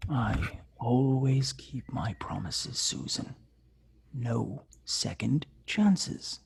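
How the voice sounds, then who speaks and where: A man playing a menacing killer who taunts by phone in a table read, slow and deliberate with a raspy, whispery voice. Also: mid-range voice, lively intonation, exaggerated voice. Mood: quiet menace.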